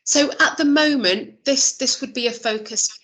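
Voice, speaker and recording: A woman's voice: sits high, normal speed, fairly bright tone. A woman chairing an online meeting, thinking aloud with fillers and restarts, her voice a little worn. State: losing her voice. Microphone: video call.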